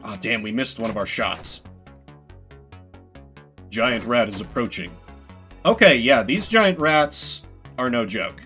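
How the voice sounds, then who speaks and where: A man's voice: medium pitch, lively intonation, slow pace, pauses often, pushed and bright, faint music behind. A man giving casual, rambling commentary as he streams a video game, with playful mock-grandiose asides. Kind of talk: casual gameplay commentary, voicing game text.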